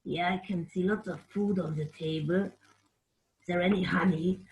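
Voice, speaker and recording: Slow-paced woman telling a children's story. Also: lowish voice, low-quality recording. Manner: animated, explanatory, makes playful sound effects. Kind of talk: expressive storytelling with playful asides to a bear puppet.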